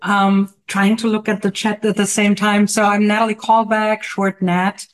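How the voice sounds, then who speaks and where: A middle-aged woman speaks with a German accent in a medium-pitched voice. She talks casually as an interview guest, in a halting way with many hesitations between short phrases. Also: flat delivery. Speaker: guest artist and teacher. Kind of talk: casual autobiographical interview talk.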